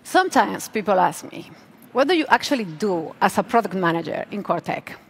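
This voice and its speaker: A woman in her forties speaks as a product manager at a tech conference. Her pitch is medium, and she phrases each point deliberately and with emphasis, as in a practised stage presentation. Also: very animated, gravelly, slight background noise. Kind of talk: rehearsed keynote presentation. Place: large auditorium stage.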